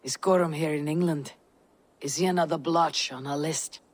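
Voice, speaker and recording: A woman voicing a steadfast, fearless Viking warrior in a video game, grave and deliberate. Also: sits low, fairly even intonation. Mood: resolute. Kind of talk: dramatic video game dialogue.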